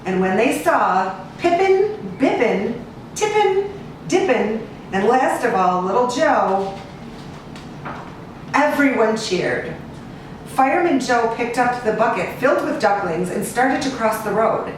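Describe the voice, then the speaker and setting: An American woman reading a children's storybook aloud in an expressive, sing-song manner. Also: on the high side. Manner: expressive, sing-song listing with drawn-out repeats. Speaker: animal cruelty investigator and rescue agent reading to children. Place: echoey indoor room, away from the mic. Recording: distant, very echoey room with hiss.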